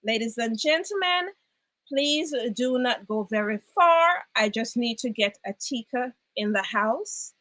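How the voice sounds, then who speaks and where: A Jamaican woman hosts a casual live broadcast and keeps the chat lively, laughing easily and calling out to viewers. Her voice is bright and sits a little high. The sound is dry and close. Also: measured pace, dry recording. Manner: lively, laughs often, reads out viewer comments.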